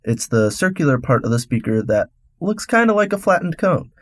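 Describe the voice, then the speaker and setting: A man's voice: a little high, very animated, a little fast, dry recording, studio quality. A man hosting a radio segment, reading a scripted explainer in an instructional, step-by-step way. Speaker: radio host.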